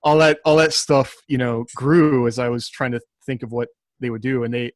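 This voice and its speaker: A man's voice: medium pitch, steady tone, normal speed, no room sound. Man rambling casually, close dry sound. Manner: rambling, thinking aloud, frequent "like" and "you know". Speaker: author on a podcast panel. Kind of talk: casual discussion.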